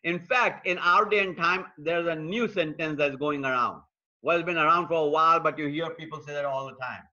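A man preaches to an online congregation in a high, bright voice. He stacks repeated phrases and addresses viewers directly as he builds each point. The stream audio sounds dull and lo-fi. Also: a little fast. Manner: repetitive, emphatic preaching cadence. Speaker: pastor. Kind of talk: online sermon. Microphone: streaming call mic.

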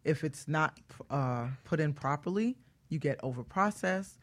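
A woman's voice: deep voice, lively intonation, measured pace, slight background noise. Emphatic, plain-spoken woman expert. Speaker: guest hair care expert. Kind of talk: conversational radio explanation.

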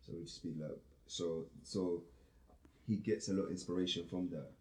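A man's voice: sits low, fairly even intonation, normal speed, darkish tone, poor audio. A man from Congo whose first language is Lingala, chatting and explaining casually as an interviewee. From born in Congo, raised in a Congolese household abroad. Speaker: interviewee, brother of the artist.

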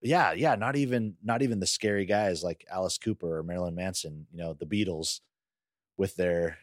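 A man's voice: sits low, normal speed, dry recording, studio quality. A man co-hosting a podcast, chatting casually and putting questions in a conversational way. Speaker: podcast co-host.

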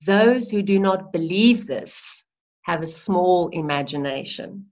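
A woman's voice: lowish voice, animated, takes it slowly, a little mellow. A middle-aged South African woman, a native Afrikaans speaker, talks in an interview with earnest enthusiasm, often restarting her words. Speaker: interviewee, early childhood movement practitioner. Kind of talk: heartfelt conversational interview. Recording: slightly dull lo-fi audio.

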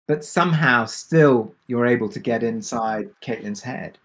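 A man answering questions on a discussion panel as a drama creator, speaking reflectively and haltingly with many pauses. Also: medium pitch. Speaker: audio drama creator and producer.

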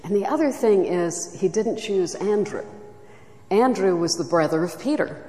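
A woman giving a reflective, soft-spoken teaching talk at a church retreat. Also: mid-range voice, lively intonation, moderate pace. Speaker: retreat speaker. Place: church hall. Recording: echoey hall recording.

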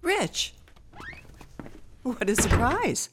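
A woman's voice: a little high, sing-song, drawn-out words, very noisy, heavily acted. A woman playing a concerned, prying aunt in a radio drama, formal, genteel and insistent. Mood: pointed surprise.

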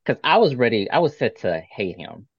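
A man hosting a casual podcast interview, friendly and relaxed, quick to agree. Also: medium pitch, breathy edge, dry recording. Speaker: podcast host. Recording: dry, dull lo-fi mic.

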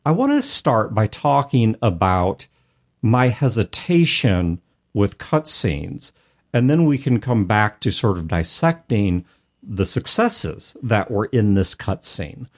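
A man with a fairly low voice talks as a podcast host. He explains things at length in a casual, reflective manner, often adding small qualifying asides as he goes. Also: very animated, slow. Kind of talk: casual discussion of tabletop role-playing games.

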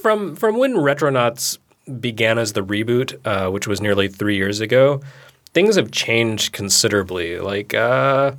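A man co-hosting a podcast in casual chat, talking in a relaxed, rambling, self-deprecating way with a sleepy-sounding voice. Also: on the low side, a little slow. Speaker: podcast co-host.

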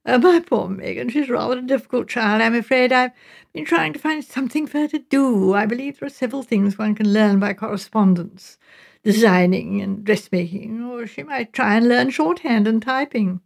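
A middle-aged English mother in an audiobook, acted by a woman reader, self-absorbed and coolly dismissive. Her voice sits fairly high with a creaky edge. She makes condescending small talk in a languid, affected manner. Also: moderate pace. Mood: plaintive, resigned.

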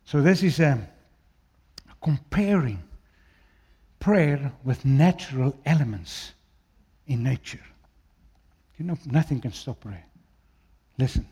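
A man from South Africa with an Afrikaans accent preaching a sermon, swinging between loud declaiming and quiet, low asides. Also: expressive, takes it slowly, pauses often, husky.

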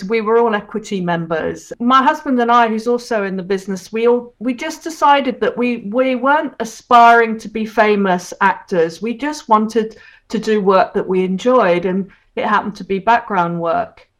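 A woman in her sixties from Hong Kong, chatting as a guest in an interview and reminiscing about her career. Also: medium pitch. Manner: chatty, reminiscing, quick to laugh. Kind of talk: casual interview.

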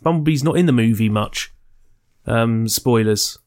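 Swearing, jokey podcast host. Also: male voice, mid-range voice, measured pace, darkish tone. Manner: chatty, jokey, swears freely and teases his co-host. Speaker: podcast co-host reviewing films. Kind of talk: casual chat.

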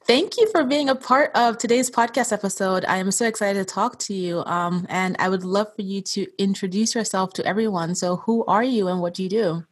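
A woman's voice: mid-range voice, a little fast, hardly any pauses. A woman hosting a podcast in a friendly interview style. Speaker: podcast host.

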